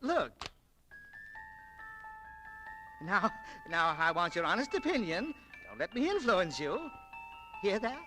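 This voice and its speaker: An actor playing an excitable, stubborn older shop owner, blustering and stammering in 1940s radio style. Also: male voice, sits high, moderate pace, music in the background. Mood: eager. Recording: noisy old 1940s radio recording.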